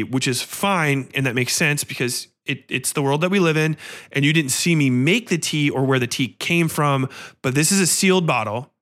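A nervous young male houseguest, acted out by a man retelling a horror film, painfully polite and easily startled. He speaks carefully and haltingly, explaining himself more than he needs to. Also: medium pitch, a bit bright, lightly acted.

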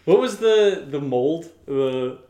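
A man's voice: a little high, animated, slow, mellow. A man co-hosting a casual, unscripted podcast Q&A, rambling in a giggly, self-deprecating way. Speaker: podcast co-host and audio drama creator.

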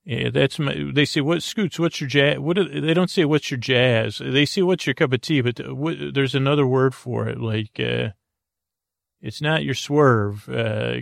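A middle-aged man hosting a bedtime podcast, rambling gently through his intro with frequent detours and restarts. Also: medium pitch, creaky, no echo, studio quality. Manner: meandering, self-interrupting, soft-spoken. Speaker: podcast host.

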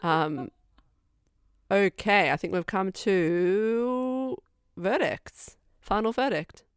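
A woman's voice: medium pitch, extremely slow, smooth, dry recording. Australian woman, dry close voice. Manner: emphatic, repeats words. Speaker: podcast co-host. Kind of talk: casual chat.

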